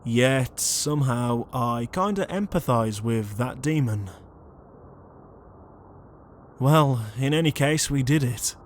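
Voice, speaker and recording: A man's voice: medium pitch, lively intonation, unhurried, a little hiss or hum, natural voice. A man playing an earnest ghost ringleader in an audio drama, bossy but easily flustered. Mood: earnest, in charge.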